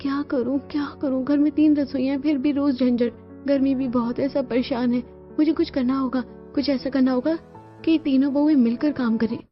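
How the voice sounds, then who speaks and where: A woman's voice: high-pitched, fairly even intonation, normal speed, pitched above the performer's own voice, lightly acted. A woman voicing an earnest, caring young daughter-in-law in a cartoon family story. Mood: worried, resolute. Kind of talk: Hindi cartoon story acting. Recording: muffled lo-fi sound with music bed.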